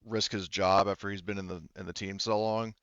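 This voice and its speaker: A man runs a tabletop game in a casual, hesitant way, circling through his explanations. His voice is smooth and fairly low, with a dry, slightly muffled recording. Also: flat delivery, fairly quick, fairly bright tone, no echo. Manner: hesitant, rambling. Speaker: game master.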